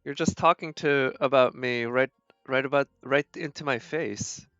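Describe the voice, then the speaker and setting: A man's voice: mid-range voice, moderate pace, fairly bright tone, no room sound, lo-fi sound, soft background music. A man giving casual, rambling gameplay commentary as a streamer, thinking aloud as he plays. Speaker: game streamer.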